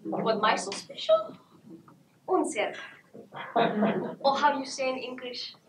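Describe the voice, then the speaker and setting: A teenage female student playing an excitable, candid woman artist in a stage monologue. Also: high voice, takes it slowly, exaggerated voice. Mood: indignant, wry. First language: German. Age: teens. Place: theatre stage before an audience. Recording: echoey theatre, distant camera, background noise.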